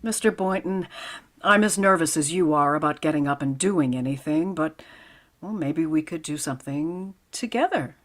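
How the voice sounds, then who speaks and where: A woman playing a wisecracking high school English teacher in vintage radio comedy style, with dry, sardonic comebacks. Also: expressive, normal speed. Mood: nervous, coaxing.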